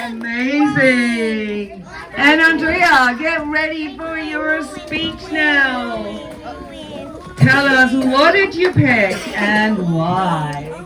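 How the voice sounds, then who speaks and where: A woman's high voice comes from some distance off, with an audience chattering clearly around her. She speaks as a teacher hosting a student event, making informal announcements to the room at an unhurried pace. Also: lively intonation, a crowd in the background.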